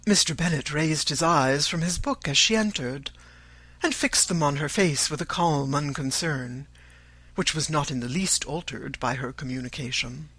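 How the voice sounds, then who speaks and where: Measured audiobook narrator, dry close recording. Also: medium pitch, pushed and bright, husky, no room sound. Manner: measured, formal storytelling. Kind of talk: scripted literary narration.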